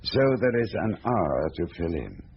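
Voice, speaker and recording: A shrewd male confidant in a conversational radio drama. He reasons deliberately in a low, very expressive voice. The recording is slightly dull with faint hiss. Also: gravelly, exaggerated voice. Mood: thoughtful, probing. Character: shrewd, measured male confidant questioning a detective.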